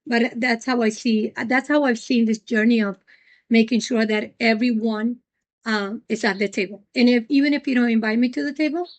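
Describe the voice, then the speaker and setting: Middle-aged puerto rican-born woman, earnest, lots of ums, kinda high voice. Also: fairly even intonation, moderate pace. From Puerto Rico. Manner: earnest, many ums and restarts. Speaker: nonprofit president, guest. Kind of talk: reflective interview answers.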